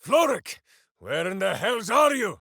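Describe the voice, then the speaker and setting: Heroic ranger, big-hearted, dim-witted, bombastic, earnest, highly animated pitch, unhurried delivery, video game character. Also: male voice, creaky, dry recording, clean studio sound, heavily acted. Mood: alarmed, shouting. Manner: bombastic, earnest, speaks of himself in third person.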